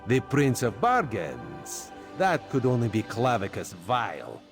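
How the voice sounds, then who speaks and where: A man's voice: mid-range voice, wildly swooping pitch, a little slow, vocal fry, music in the background, full character voice. An actor playing a sly male cat-folk spymaster who talks about himself in the third person, in a video game. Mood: wry.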